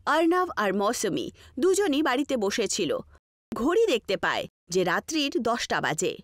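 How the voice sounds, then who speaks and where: A woman's voice: fairly high pitch, very animated, unhurried, dry recording, studio quality. A woman reading scripted narration for a horror story as its storyteller.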